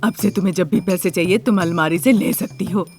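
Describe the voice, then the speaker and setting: A woman voicing a watchful older mother-in-law with a measured, reflective manner in a moral story cartoon. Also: on the low side, darkish tone, soft background music, voice pushed down, close to an everyday voice. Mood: calm, forgiving. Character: watchful, worried older mother-in-law who keeps sheep.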